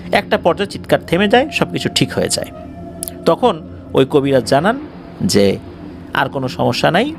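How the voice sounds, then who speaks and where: A man's voice: high-pitched, slight background noise. A man hosting a horror show, telling a story to listeners in a dramatic, emphatic, suspenseful manner.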